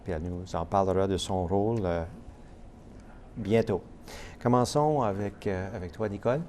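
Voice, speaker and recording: A man's voice: sits low, moderate pace, a little mellow, a little hiss or hum. A man hosting a casual TV interview, chatty and laughing, with many fillers. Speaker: talk show host.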